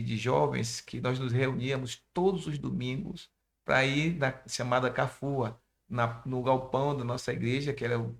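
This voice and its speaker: Gravelly Bahian pastor giving testimony. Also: male voice, mid-range voice. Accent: Bahian Portuguese. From Brazil. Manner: preachy, addresses youth directly. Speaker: pastor and missionary. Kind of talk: testimony in a church interview.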